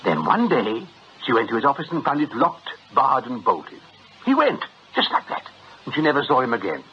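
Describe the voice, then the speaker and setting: Jovial british con boss, wry n chuckly, very animated, radio play, bit of hiss. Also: male voice, medium pitch, moderate pace. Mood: teasing. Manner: chuckling, ironic, chatty and avuncular. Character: jovial, wily, smooth-talking male ringleader of swindlers. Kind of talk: British radio drama acting. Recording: dull radio recording, faint noise.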